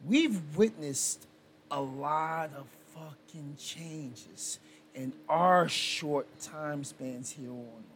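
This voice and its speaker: A man in his forties co-hosting a podcast, chatting casually, stammering and repeating himself before slowing down to make a point. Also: a little high, a bit bright, a little hiss or hum. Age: 40s. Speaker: podcast co-host. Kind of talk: casual profane chat.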